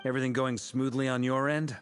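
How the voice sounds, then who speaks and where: A man's voice: medium pitch, little pitch movement, a little fast, a little hiss or hum, close to an everyday voice. A man voicing an easygoing, confident older commander in a video game, avuncular and commanding, with music underneath. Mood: jovial. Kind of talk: scripted video game dialogue.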